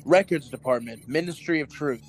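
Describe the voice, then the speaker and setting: An actor playing an anxious, obedient party worker with hidden doubts in a radio drama, earnest and dutiful. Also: male voice, mid-range voice, normal speed, soft background music, natural voice, rough recording. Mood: dutiful, nervous.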